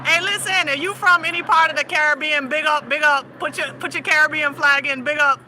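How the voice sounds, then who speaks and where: A woman's voice: sits high, fairly even intonation, brisk, pushed and bright, a little hiss or hum. A woman with a Southern US accent hosting a casual chat, laughing often and teasing her audience. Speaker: host.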